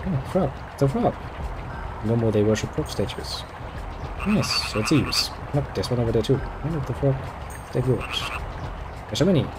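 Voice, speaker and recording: A man's voice: medium pitch, expressive, normal speed, pauses often, noisy background. A man reading game dialogue aloud and adding dry, sarcastic jokes as he plays. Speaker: gameplay video host.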